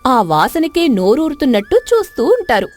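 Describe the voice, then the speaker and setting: Woman narrator, high voice, scripted dramatic storytelling, lively melody, soft music behind. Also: normal speed.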